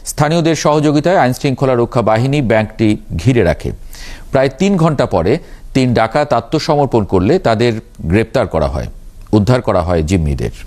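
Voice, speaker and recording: A male news anchor with a Bangladeshi accent and a mid-pitched voice. He presents reports and hands over to correspondents in a broadcast news style. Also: expressive, a little fast, darkish tone. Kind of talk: news presenting, scripted narration and live handover.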